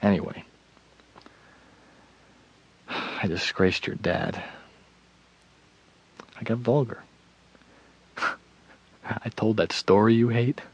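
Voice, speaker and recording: An actor playing a troubled, self-deprecating husband in a radio drama, halting and stammering with a wry, evasive manner. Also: male voice, fairly low pitch, gravelly, breathy edge, exaggerated voice. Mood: sheepish, ashamed. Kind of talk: naturalistic radio drama acting.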